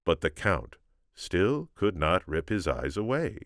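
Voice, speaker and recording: A man reading an audiobook, telling the story in a measured, dramatic way. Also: low-pitched, very animated, fairly bright tone, dry recording, clean studio sound. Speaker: audiobook reader. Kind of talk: scripted story narration with informal asides.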